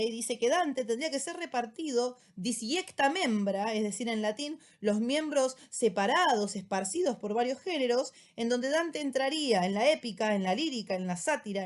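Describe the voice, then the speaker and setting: An Argentine woman teacher talks through a reading in an easygoing explanatory way, tossing in casual slang. Her voice sits a little high, and she keeps checking in with quick tag questions as she goes. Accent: Argentine Spanish. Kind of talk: recorded lecture summary.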